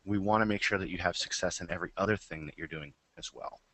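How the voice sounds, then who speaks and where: A man's voice: low-pitched, fast talker, fairly bright tone. A man co-hosting a business webinar, talking in a laid-back, joking way. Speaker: webinar co-host. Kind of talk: casual business webinar talk.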